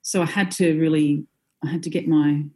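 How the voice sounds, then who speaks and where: A woman who sings in a band, talking in an interview in a reflective, easygoing way, with frequent pauses and small laughs. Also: sits low, steady tone, mellow. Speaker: singer in a band, guest. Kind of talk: conversational interview.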